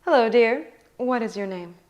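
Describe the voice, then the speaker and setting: A woman's voice: a little high, animated, moderate pace, lightly acted. A woman playing a brash, scheming company shareholder in a drama table read, speaking with a commanding, condescending and mocking manner. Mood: mocking, confident. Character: brash, scheming female shareholder challenging an all-male board.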